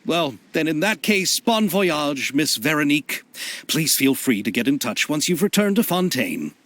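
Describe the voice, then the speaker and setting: An animated, courteous gentleman. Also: on the high side, normal speed, full character voice. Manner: polite, formal. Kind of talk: video game character acting.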